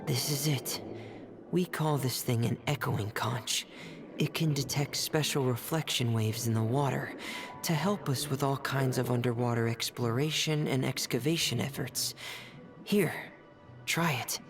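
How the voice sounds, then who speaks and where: Man playing a shy, creaky-voiced teenage boy diver. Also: mid-range voice, a little slow, noisy background, close to an everyday voice. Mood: gentle, reserved. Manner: hesitant, polite, earnest. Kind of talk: video game character dialogue. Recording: music behind the voice.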